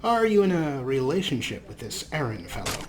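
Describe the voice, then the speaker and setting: A man's voice: medium pitch, big pitch swings, normal speed. A man hosting a let's play, giving sarcastic, playful commentary and role-playing his character. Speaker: host.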